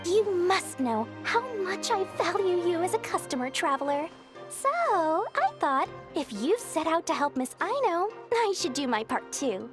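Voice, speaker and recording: A woman's voice: very high voice, very animated, a little slow, full character voice. A woman voicing a small, sly, greedy merchant in a video game, with smug, theatrical salesmanship. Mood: smug, scheming. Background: game music.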